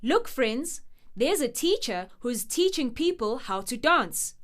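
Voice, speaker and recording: A high-pitched, cheerful children's show friend. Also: female voice, sing-song, slow pace, heavily acted. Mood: encouraging. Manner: upbeat, simple phrasing addressed to children. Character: cheerful, encouraging female friend guiding young children. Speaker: children's show presenter character. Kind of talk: children's television presenting.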